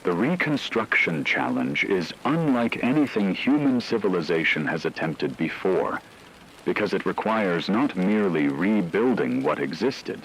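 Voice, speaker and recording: A man playing a grave, resolute veteran crisis broadcaster, delivering a solemn, deliberate monologue on emergency radio. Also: low-pitched, creaky, slight background noise, exaggerated voice, rough recording. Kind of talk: scripted dramatic radio monologue.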